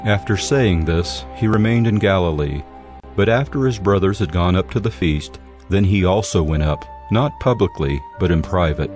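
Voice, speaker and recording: A man reading scripted narration for a dramatized audio Bible in a measured, solemn way. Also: low voice, lively intonation, darkish tone. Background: music.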